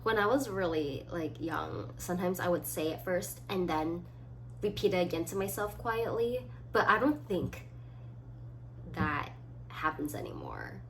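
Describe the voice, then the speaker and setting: A woman of about twenty chatting casually as a solo vlogger, reading items aloud and thinking through short, halting answers. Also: fairly low pitch, lively intonation, rough recording. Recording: clearly audible background noise.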